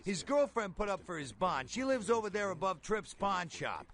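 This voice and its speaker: A man's voice: high voice, big pitch swings, moderate pace, a bit bright, exaggerated voice. An actor playing a jittery, folksy bail bondsman in a video game, talking in colloquial street slang. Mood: brisk, streetwise.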